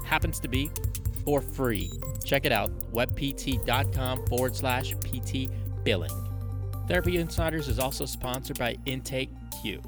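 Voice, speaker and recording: A man's voice: medium pitch, unhurried, big-room sound, music in the background. A man hosting a podcast, reading sponsor spots and making announcements in an upbeat, chatty way. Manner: upbeat, chatty promo delivery. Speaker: podcast host. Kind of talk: casual podcast ad reads and announcements.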